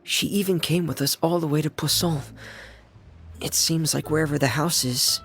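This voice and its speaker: A man playing a quiet, withdrawn, gentle younger brother in a game, with a soft, breathy voice and terse delivery. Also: on the high side, fairly even intonation, moderate pace, soft background music. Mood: discouraged. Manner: terse, subdued. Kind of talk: understated game voice acting.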